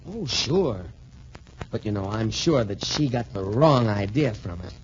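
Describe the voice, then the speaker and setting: Casting: wholesome college athlete hero, earnest, animated delivery, 1940s radio serial on a dull recording. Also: male voice, fairly low pitch, unhurried. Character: earnest, trusting young male student boxer hero.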